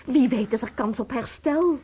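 An actress playing an anxious, doting young wife in a radio play, melodramatic in manner. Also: female voice, fairly high pitch, moderate pace, dark tone, husky. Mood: anxious, insistent. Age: young adult. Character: distraught, doting 27-year-old wife of a shrinking man.

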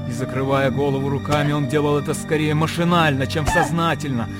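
A man giving a theatrical, expressive narration of a literary radio play. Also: on the high side, fairly quick. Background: music.

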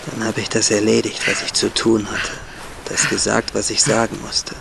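An actor playing a wistful, tender young shipwrecked seaman in a radio drama monologue, intimate and halting, with a husky voice. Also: lowish voice, level tone, background noise. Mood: mournful, tender. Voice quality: husky, gravelly, breathy.

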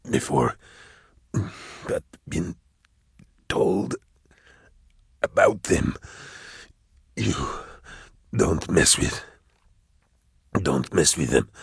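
A man voicing a mortally wounded hunter who gasps out broken, breathy phrases with faltering pauses in a storybook reading. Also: extremely low pitch, fairly bright tone, no echo, clean studio sound, voice pushed down, exaggerated voice. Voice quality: breathy, broken, halting whisper. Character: mortally wounded male hunter, gasping his last words.

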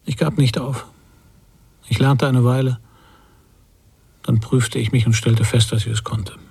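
An actor playing a weary man of fifty in a literary radio drama, husky and gravelly, brooding and deliberate in his telling. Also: mid-range voice, fairly even intonation, darkish tone, heavily acted. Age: 50s. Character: weary man of fifty, an emigrant struggling to learn English.

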